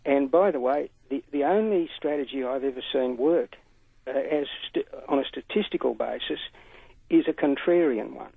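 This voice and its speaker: Gravelly older man on a phone line. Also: mid-range voice, moderate pace, no echo. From Australia. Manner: earnest, emphatic, talkative. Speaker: caller. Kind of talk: phone-in call to a radio show.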